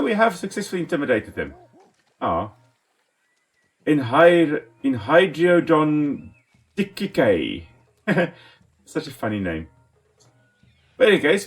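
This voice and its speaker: A man from South Africa with a South African accent and Afrikaans as his first language, giving casual, enthusiastic gameplay commentary as a let's play host. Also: medium pitch. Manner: enthusiastic, chatty, talks to the animals on screen.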